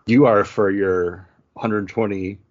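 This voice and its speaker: A man with a fairly low voice talks casually as a podcast co-host, arguing his side in easy conversation. The recording is a bit dull and lo-fi. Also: steady tone, takes it slowly. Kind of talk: casual chat, good-natured debate. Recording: dull lo-fi audio.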